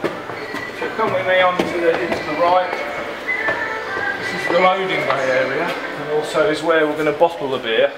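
A middle-aged head brewer giving a walking tour in plain, unhurried speech with fillers, filmed on a camera held close by. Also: male voice, fairly high pitch, steady tone, loud music behind the voice. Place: large echoey brewery building, indoors. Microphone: handheld camera close by, following him. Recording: echoey rooms with air-conditioning hum. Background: air-conditioning hum.

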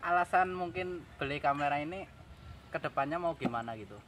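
A man's voice: fairly high pitch, fairly quick, fairly bright tone. A young man in casual vlog chat, recorded outdoors on a camera a few metres away. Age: young adult. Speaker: vlogger selling his camera gear. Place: outdoors on grass by a rice field. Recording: noisy outdoor camera audio.

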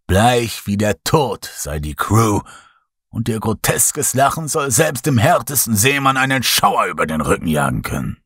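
A man's voice: medium pitch, a bit bright, dry recording, studio quality, exaggerated voice. A man voicing a strange old quartermaster who tells an eerie sea legend. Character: strange old male quartermaster, spinning ghost tales.

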